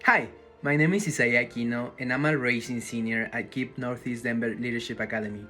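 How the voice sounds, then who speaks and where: Young man, bright forceful voice. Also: medium pitch, fairly even intonation, brisk. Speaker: high school student. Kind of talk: scripted message. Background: music.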